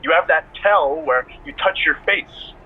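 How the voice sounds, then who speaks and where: A man playing a goofy, stubbornly suspicious co-host in game cutscene banter, husky and heard over a phone line. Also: on the high side, normal speed. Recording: muffled lo-fi phone call.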